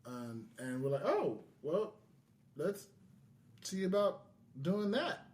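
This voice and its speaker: A man's voice: high-pitched, very animated, slow pace, a little mellow. An American man from California talking casually as a podcast host. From California, United States.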